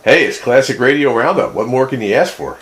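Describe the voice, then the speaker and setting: A man's voice: medium pitch, brisk. A man, a radio hobbyist, chatting casually about technical matters. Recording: steady background noise.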